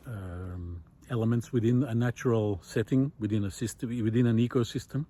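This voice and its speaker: A man talking reflectively while walking on a forest path outdoors, pausing often with fillers and lifting his voice on key points. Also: lowish voice, warm tone. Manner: thoughtful, many pauses and fillers, rising emphasis on key points. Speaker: nature video essayist.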